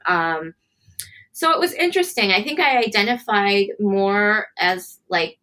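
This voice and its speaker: A woman's voice: mid-range voice, lively intonation, a little slow, pushed and bright. A woman chef from upstate New York, answering interview questions in a thoughtful, hesitant way. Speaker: chef, guest. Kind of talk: casual interview.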